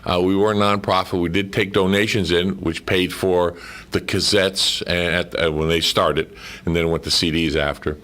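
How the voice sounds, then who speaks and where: A man's voice: deep voice, steady tone, moderate pace, fairly bright tone. A man telling anecdotes as a guest in a casual studio chat, with conversational asides. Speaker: guest, amateur radio play actor.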